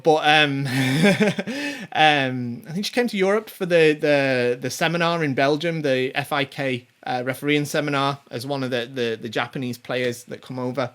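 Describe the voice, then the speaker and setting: Man, forties, show host, casual chatty commentary, lots of ums and restarts, mid pitch. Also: a bit bright. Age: 40s. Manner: rambling, many ums and restarts; chatty, chuckles to himself. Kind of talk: casual commentary on tournament players.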